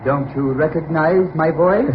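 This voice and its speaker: A man as a slow, menacing avenger, tinny old radio. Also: fairly high pitch, natural voice. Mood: taunting menace. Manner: slow, deliberate, taunting, drawn-out. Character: menacing, mocking vengeful man taunting from the dark. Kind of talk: old-time radio horror acting. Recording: thin, noisy old radio recording.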